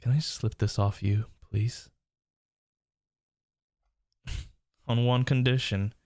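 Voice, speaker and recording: A man's voice: mid-range voice, steady tone, slow pace, darkish tone, no room sound, lightly acted. Man acting a smitten, teasing rival, close and dry. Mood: seductive, teasing.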